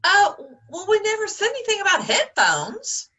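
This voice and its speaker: A woman playing a scatterbrained, flustered theater board president in a farcical comedy, with a husky voice and halting delivery. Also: very high voice, wildly swooping pitch, background noise, heavily acted. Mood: confused, defensive. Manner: dithering, halting. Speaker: actor in online comedy.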